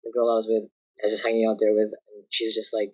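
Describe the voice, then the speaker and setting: A man's voice: fairly low pitch, completely flat delivery, fast, a little mellow, slightly rough, rough recording. A young Canadian man with a Canadian accent, a personal trainer chatting as a podcast guest, laid-back, slangy and swearing a lot. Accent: Canadian English. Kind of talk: casual chat.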